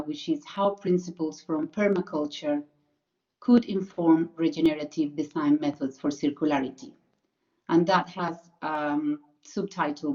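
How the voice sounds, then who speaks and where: Woman, Colombian Spanish accent, low-ish voice, earnest research presentation, hesitant restarts, muffled call audio. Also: dark tone. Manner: earnest, careful, with many fillers and self-corrections. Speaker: PhD researcher. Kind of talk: academic presentation.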